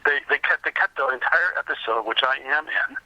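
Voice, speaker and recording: A man's voice: medium pitch, fairly quick, lo-fi sound. A middle-aged man telling rambling anecdotes in a casual interview as a guest. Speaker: podcast guest, comics historian.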